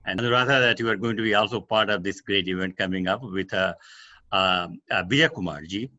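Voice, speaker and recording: Bright-toned older man hosting a video call. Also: on the low side, brisk. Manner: hesitant, with uh fillers. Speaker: host. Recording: dull lo-fi call audio.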